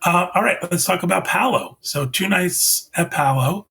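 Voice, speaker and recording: A man hosting a casual podcast chat, asking follow-up questions with animated reactions. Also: high-pitched, moderate pace, gravelly, slightly rough. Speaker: podcast host.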